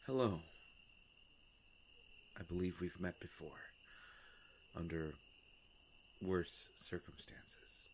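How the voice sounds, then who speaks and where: A man playing a courteous, melancholy vampire in a roleplay audio drama, speaking formally and hesitantly with long pauses and a gravelly voice. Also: background noise. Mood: gentle, courteous. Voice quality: gravelly, creaky low voice. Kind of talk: scripted roleplay audio drama addressed to the listener.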